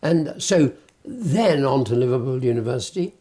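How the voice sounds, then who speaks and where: A woman interviewing a guest, prompting gently and affirming with short replies. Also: extremely low pitch, lively intonation, normal speed, slightly rough. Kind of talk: interview questions and backchannels.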